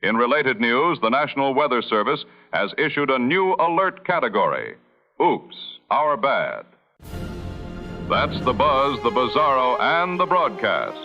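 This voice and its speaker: A man playing a bombastic satirical radio news anchor, reading a scripted mock bulletin with deadpan seriousness. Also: mid-range voice, measured pace, muffled recording, soft background music, exaggerated voice. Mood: mock-serious.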